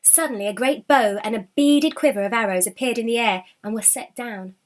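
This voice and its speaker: A woman narrating a children's storybook in an expressive, dramatic, deliberate storytelling manner. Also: a little high.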